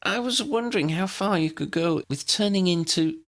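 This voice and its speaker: A man's voice: sits high, normal speed, pitched above the performer's own voice. A man voicing a polite, inquisitive young woman in an audiobook, tentative and respectful. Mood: tentative, curious.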